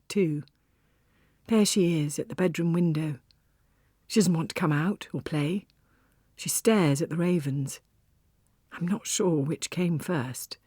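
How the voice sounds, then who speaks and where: An actress playing a weary, wry woman cafe owner, telling her story in an audiobook with an expressive, breathy, conversational delivery. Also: low-pitched, dry recording, studio quality. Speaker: audiobook narrator. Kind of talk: first-person dramatic audiobook narration.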